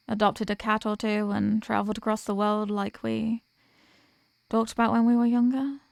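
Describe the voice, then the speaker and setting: Woman acting a remorseful, halting female villain, close and dry. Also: mid-range voice, creaky, darkish tone, no echo. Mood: wistful. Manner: halting, earnest, pausing between phrases. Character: remorseful female villain, former hero, confessing to lost love. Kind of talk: dramatic audio roleplay monologue, voicemail confession.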